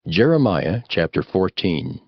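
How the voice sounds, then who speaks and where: A man giving measured, formal scripted narration as an audiobook chapter announcer. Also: low-pitched, sing-song, breathy edge. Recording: dry but slightly dull studio sound.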